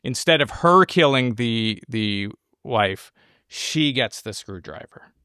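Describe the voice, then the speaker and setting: Male podcast co-host, middle pitch, dry sound, informal and excitable film chat. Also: slow pace, smooth, no echo. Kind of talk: casual film discussion.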